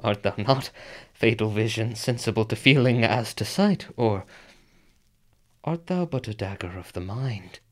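An actor playing a brooding, conflicted nobleman with deliberate, theatrical verse delivery in a Shakespearean radio drama. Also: on the low side, lively intonation. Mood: haunted.

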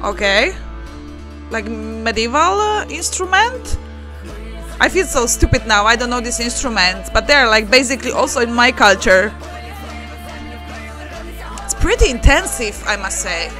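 A woman in her thirties from Poland, speaking with a Polish accent, holding a microphone in a cozy room and reacting to a song with excited exclamations and laughs. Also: fairly high pitch, unhurried, pauses often, music in the background. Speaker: YouTuber and music journalist. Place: cozy living room with fairy lights, indoors. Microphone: handheld microphone.

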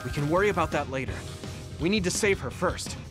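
A man's voice: on the high side, animated, brisk, over music, lightly acted. An actor playing a kind, steadfast young male soldier in an anime game, earnest and reassuring. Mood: urgent.

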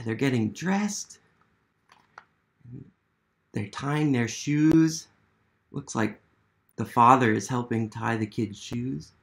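A man's voice: medium pitch, gravelly. An American man in his thirties hosting a children's read-aloud as an educator, asking questions and encouraging young listeners. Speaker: museum literacy educator hosting a children's storytime. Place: small living room at home, indoors.

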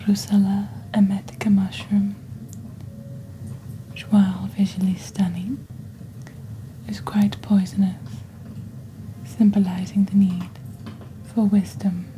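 A young woman presenting products in a soft, breathy, near-whispered ASMR delivery, deliberate and scripted, close to the microphone. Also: medium pitch, slight background noise, low-quality recording. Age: young adult. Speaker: ASMR host playing a shopping channel presenter.

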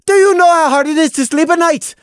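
A man voicing a bitter, hurt young mare who snaps and shouts in a high, shrill voice. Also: lively intonation, normal speed, dry recording, studio quality. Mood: furious.

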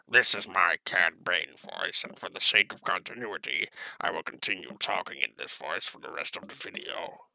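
A man voicing a menacing gunslinger villain with a gravelly, creaky, slow drawl, narrating a tutorial in character. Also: deep voice, animated, bright, forceful tone, no room sound, pitched below the performer's own voice, heavily acted, poor audio. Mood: slow, deliberate.

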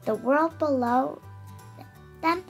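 A woman's voice: sits high, takes it slowly, faint music behind. An eight-year-old girl reading a children's picture book aloud expressively and carefully, stumbling over some words. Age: child, about eight. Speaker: young storybook reader.

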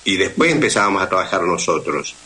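A man's voice: low-pitched, very animated, fast talker, pushed and bright, slightly rough. A middle-aged man with an Argentine accent, talking conversationally as a guest in a radio interview. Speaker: guest voice actor and dubbing teacher.

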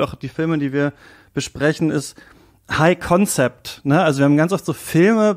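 A man's voice: medium pitch, gravelly. A man talking casually as a guest on a film podcast, musing and hedging his points. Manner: musing, hedging, with light laughs.

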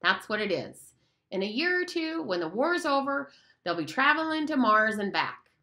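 A woman's voice: a little high, very animated, moderate pace, bright, forceful tone, pitched above the performer's own voice, heavily acted. A woman voicing an earnest, fussy boy with glasses who lectures the others in a prim way. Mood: lecturing.

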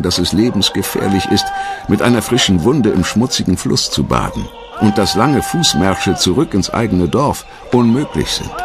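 A man reading a scripted documentary narration in a measured, dramatic storytelling manner. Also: low-pitched.

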